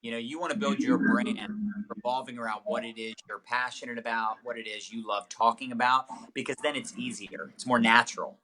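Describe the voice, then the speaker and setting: A man's voice: mid-range voice, fairly even intonation, brisk, sharp tone. A man giving chatty, upbeat coaching tips as the guest of a casual livestream interview, with many fillers. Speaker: network marketing trainer, guest.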